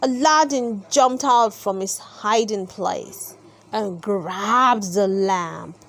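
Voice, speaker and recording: A woman narrating a children's storybook aloud in an expressive, deliberate storytelling manner. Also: mid-range voice.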